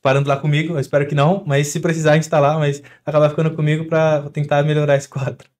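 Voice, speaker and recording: A Brazilian man talks quickly as a podcast guest, with a somewhat raised voice. He explains health habits through homely everyday examples and keeps a chatty, steady flow. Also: fairly high pitch. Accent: Brazilian Portuguese. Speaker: doctor guest. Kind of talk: podcast interview.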